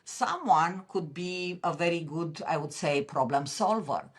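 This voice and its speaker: A woman's voice: fairly low pitch, expressive, a little slow, breathy edge. A woman with a Romanian accent, a podcast guest speaking earnestly and passionately, often restarting her sentences. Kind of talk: interview conversation.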